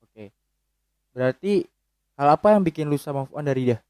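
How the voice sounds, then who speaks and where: A man hosting a casual chat, firing short teasing questions and quick interjections. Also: a little high, lively intonation, a little mellow, clear, resonant tone, no room sound, clean studio sound.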